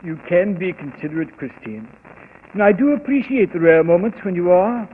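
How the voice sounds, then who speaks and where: Uneasy husband, condescending, lively pitch, noisy 1940s radio mystery. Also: male voice, normal speed. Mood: dry, patronizing. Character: uneasy, sardonic husband of a dangerous wife. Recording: tinny, noisy old 1940s radio broadcast.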